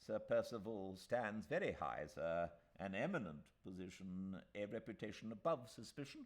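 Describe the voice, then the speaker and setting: An elderly English gentleman solicitor, pitched well above a natural low register. He is fussy and self-assured, with animated intonation. His delivery is formal, emphatic and complacent, as in a classic audiobook. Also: normal speed. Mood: confident, reassuring. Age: older. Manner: emphatic, formal, repeats himself pompously. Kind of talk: audiobook character voice.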